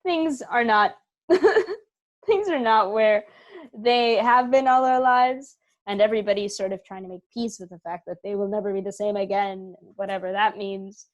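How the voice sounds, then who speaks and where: A woman's voice: mid-range voice, highs cut off. A woman speaking conversationally as a theatre performer being interviewed. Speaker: actor and theatre company member, interview guest.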